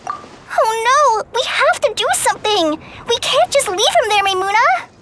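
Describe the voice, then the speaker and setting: A woman voicing a small, excitable, brash childlike fairy companion in a video game, squeaky and exclamatory. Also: a little slow. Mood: excited, alarmed. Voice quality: high, squeaky. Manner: speaks of herself in third person, exclamatory. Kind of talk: English video game voice acting. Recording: music under the voice.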